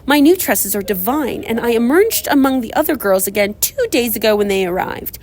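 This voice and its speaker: A woman's voice: on the high side, expressive, a little fast, a little mellow, a little hiss or hum, lightly acted. A woman reading aloud as a vain, gossipy young woman with an affected, preening manner.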